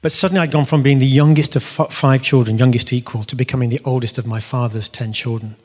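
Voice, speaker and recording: A man giving an animated faith testimony to an audience, telling his story with bursts of loud emphasis. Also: medium pitch, fast talker. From half Syrian. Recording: long reverb of a hall.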